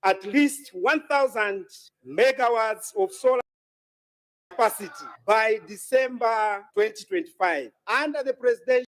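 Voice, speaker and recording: Male government minister, Zambian accent, high voice, formal and deliberate parliamentary delivery. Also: rough recording.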